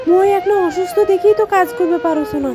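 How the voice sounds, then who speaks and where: A woman's voice: very high-pitched, quick pace, music in the background. A woman playing an ageing, long-suffering mother-in-law in a family cartoon, with a Rangpuri accent from northern Bangladesh. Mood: plaintive. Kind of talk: comic family drama cartoon dialogue.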